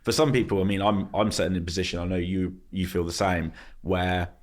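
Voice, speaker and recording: A male podcast host chatting casually in a relaxed way, laughing easily. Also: deep voice, fairly quick.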